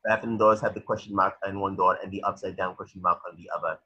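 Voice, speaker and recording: A man's voice: low voice, flat delivery, quick pace, bright, forceful tone, husky, dull audio. A man narrating a cartoon script's stage directions in a matter-of-fact way, sometimes stumbling over the words. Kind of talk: reading a cartoon script aloud.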